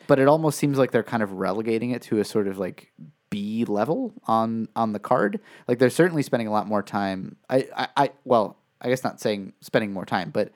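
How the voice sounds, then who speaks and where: A man's voice: medium pitch, darkish tone. A man co-hosting a casual wrestling podcast, rambling and restarting his sentences, with frequent chuckles. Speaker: podcast co-host.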